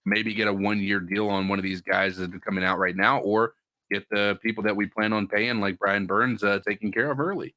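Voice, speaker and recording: A man co-hosting a casual sports podcast, talking through his view with halting restarts. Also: on the low side, steady tone, sharp tone, dry recording. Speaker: podcast co-host.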